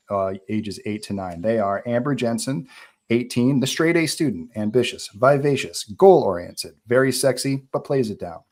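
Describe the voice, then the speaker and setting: A man reading out screenplay stage directions as narrator at a live table read. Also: mid-range voice.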